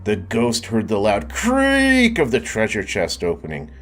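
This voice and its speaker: A man reading a children's storybook aloud in a lively way, often stopping to ask the listener questions. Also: fairly low pitch, a little slow, faint music behind. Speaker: storybook reader. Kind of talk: children's book read-aloud.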